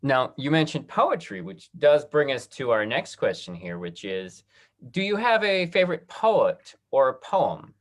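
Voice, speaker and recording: A man's voice: medium pitch, sing-song, unhurried. A man hosting an online question-and-answer session, upbeat and encouraging. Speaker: talk back host. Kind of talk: video call Q&A. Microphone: video call.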